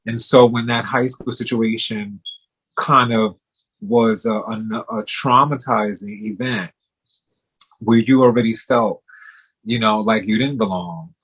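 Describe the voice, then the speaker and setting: Low, husky man affirming his guest. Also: fairly even intonation, a little slow, fairly bright tone, poor audio. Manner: affirming, many "you know" and "mm-hmm" interjections. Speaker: host, therapist-style interviewer. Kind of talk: supportive interview talk.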